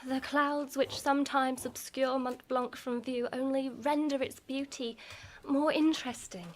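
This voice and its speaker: Devoted young wife, high voice, earnest radio drama, slight hiss behind. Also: female voice, fairly even intonation, unhurried. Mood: soothing. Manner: tender, earnest, theatrical. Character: Elizabeth, devoted, hopeful young bride. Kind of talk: live radio drama acting. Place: live on a theatre stage. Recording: noisy live theatre stage recording.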